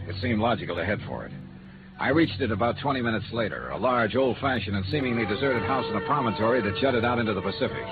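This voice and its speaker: A tinny old radio recording with music behind it carries a man acting a hard-boiled American investigator. He is wry and terse, swinging widely in pitch as he narrates his case in clipped noir style. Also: lightly acted. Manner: terse, dry, clipped delivery. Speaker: lead actor.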